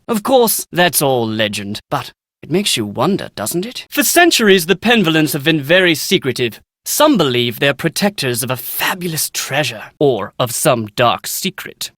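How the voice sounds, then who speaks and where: An actor playing a pompous, excitable British scholar and would-be author in a video game, speaking in bookish, theatrical diction. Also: male voice, a little high, no room sound, clean studio sound. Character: Nigel Mukherjee, pompous, excitable British male scholar writing family history. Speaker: researcher and aspiring author. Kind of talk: video game character dialogue.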